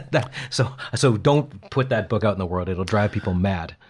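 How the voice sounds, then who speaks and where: A man with a Canadian accent co-hosting a podcast in casual chat, with wry, deadpan humour. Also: lowish voice, normal speed, husky. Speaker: podcast co-host.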